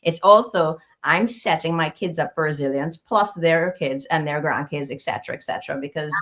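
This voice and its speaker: A woman with a soft Trinidadian accent, speaking in a somewhat low voice. She talks as a counseling guest in a relaxed video-call webinar, lively, laughing easily and restarting her sentences as she goes. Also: noisy background. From Trinidad and Tobago. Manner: animated, laughs often, quick restarts. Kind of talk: casual webinar conversation.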